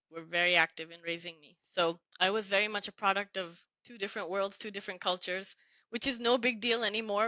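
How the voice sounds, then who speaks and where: Middle-aged woman, earnest guest storyteller, crisp low voice, muffled dry call audio. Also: dry recording. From United States (New Jersey). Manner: warm, earnest, grateful tone. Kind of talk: reflective conversational storytelling.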